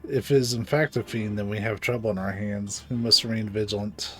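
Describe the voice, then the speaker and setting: A man voicing an earnest, dutiful guard from a video game, reading the formal dialogue aloud with deliberate urgency. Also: on the low side, steady tone, sharp tone, faint music behind. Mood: watchful.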